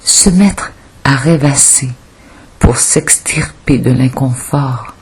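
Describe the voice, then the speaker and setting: Female poetry reader, heavily breathy husky tone, slow solemn delivery. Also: a bass voice, a little mellow. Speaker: volunteer audiobook reader. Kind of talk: poetry recitation.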